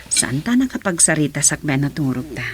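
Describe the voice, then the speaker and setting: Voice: medium pitch, big pitch swings, fairly quick, background noise, lightly acted. An actor playing an earnest, dutiful official in a radio drama, with an Ilocano Filipino accent.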